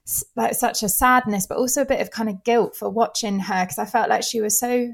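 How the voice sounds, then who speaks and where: A woman hosting a relaxed music chat, chatty and animated, often laughing as she tells stories. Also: on the high side, a little fast, vocal fry. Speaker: host. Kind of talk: casual chat.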